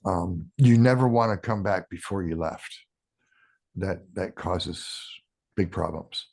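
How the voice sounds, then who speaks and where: Gravelly low-voiced man in his sixties. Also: darkish tone. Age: 60s. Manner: halting, restarts with ums. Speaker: podcast guest. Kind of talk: casual interview talk.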